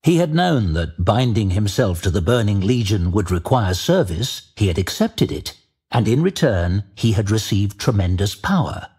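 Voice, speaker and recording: A man reading dramatic scripted fantasy narration as an audiobook narrator, measured and deliberate. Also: fairly low pitch, sing-song, a little mellow, breathy edge.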